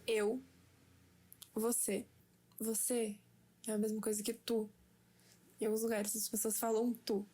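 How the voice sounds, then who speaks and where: A young Brazilian woman giving a slow, patient, playful lesson at home to a friend. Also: a little high, flat delivery, mellow. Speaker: language teacher for a friend. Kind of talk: casual home-made lesson.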